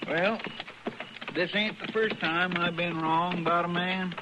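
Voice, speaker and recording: Loyal hayseed western sidekick: rural American twang, slightly high pitch, heavy old-radio noise. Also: male voice, steady tone, a little slow. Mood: earnest. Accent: rural American South and West. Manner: drawling, folksy. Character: folksy, loyal, mournful male deputy sidekick. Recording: very noisy old-time radio recording.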